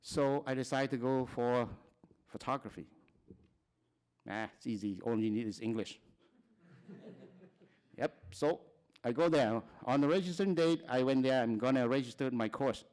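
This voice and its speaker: Smooth-voiced man from Cambodia, halting. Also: mid-range voice, darkish tone. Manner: plain, halting talk with frequent restarts and short laughs. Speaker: conference speaker. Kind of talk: personal testimony at a conference.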